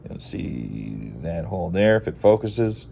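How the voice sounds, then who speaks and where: A man's voice: low voice, sing-song, a little slow, creaky, dark tone, slight background noise. A Canadian man giving a casual, rambling how-to vlog in a laid-back, blunt, digressive way. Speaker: car video creator.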